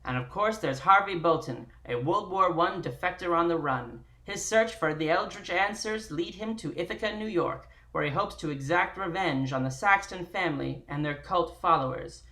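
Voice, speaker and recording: Low-pitched woman hosting and narrating. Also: moderate pace, hardly any pauses, a bit bright, poor audio. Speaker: host of a live-streamed table read. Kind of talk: live stream intro and scripted recap narration.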